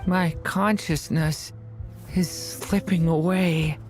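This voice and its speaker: A gentle dragon boy from a fantasy video game. The voice speaks slowly and haltingly, with earnest feeling, over soft background music. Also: fairly low pitch, steady tone. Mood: weak, lost. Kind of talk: dramatic video game acting.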